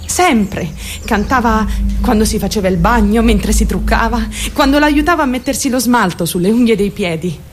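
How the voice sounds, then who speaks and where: A woman's voice: a little high, moderate pace, soft background music, lightly acted. A woman acting a theatrical radio monologue as a troubled young woman, defensive and candid, rambling and addressing her listeners directly. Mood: wistful, self-deprecating. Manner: confiding, addresses listeners directly with rhetorical questions. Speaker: actor.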